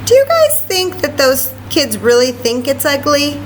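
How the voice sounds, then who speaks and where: A woman reading a story aloud to children in an expressive way, with chatty asides and questions. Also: high voice, a little slow, a little hiss or hum. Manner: expressive, asks listeners questions. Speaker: library story time reader.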